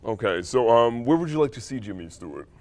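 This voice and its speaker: A young man guesting on a college talk show, joking in a dry, lively way. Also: mid-range voice, fairly quick. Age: young adult. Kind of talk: casual comedic interview. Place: college studio set.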